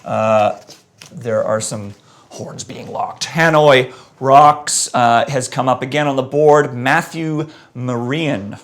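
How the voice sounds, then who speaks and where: Man, gravelly mid voice, energetic joking host, slightly hoarse. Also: medium pitch, slow pace. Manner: energetic, joking, reads comments aloud. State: voice going a bit hoarse. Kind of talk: live-stream debate, reading viewer comments.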